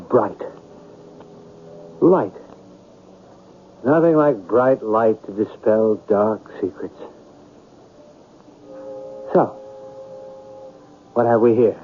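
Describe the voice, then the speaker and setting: An actor playing an inquisitive, imaginative writer turned sleuth in a 1970s radio drama, musing in a theatrical, deliberate way. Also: male voice, medium pitch. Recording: muffled, lo-fi old radio recording.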